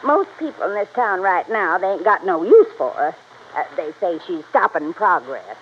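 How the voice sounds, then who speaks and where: A folksy older small-town widow with a rural American accent. She rambles and exclaims, her pitch swinging up and down. It is radio drama, with a muffled sound and light hiss. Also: very animated, normal speed. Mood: gossipy. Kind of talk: old-time radio drama acting. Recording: dull, hissy vintage radio recording.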